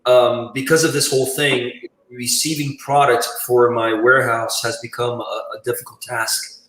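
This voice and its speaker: A man's voice: medium pitch, level tone, moderate pace, fairly bright tone, a little hiss or hum. A middle-aged man chatting casually as a cigar company guest on a live show. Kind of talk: casual chat. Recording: roomy echo, distant from mic.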